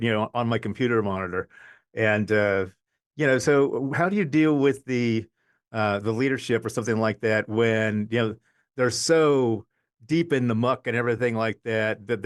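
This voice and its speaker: A man hosting a podcast, asking a long, rambling question in a casual way. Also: medium pitch. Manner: rambling, lots of fillers and restarts. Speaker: podcast host. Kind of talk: casual interview chat.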